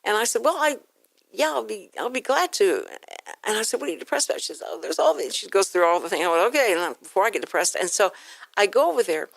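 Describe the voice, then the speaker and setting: A woman's voice: medium pitch, animated, fast talker, gravelly, no echo. A woman from Houston, Texas, hosting a casual radio interview in an effusive, rambling, anecdotal way. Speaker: talk show host. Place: radio studio.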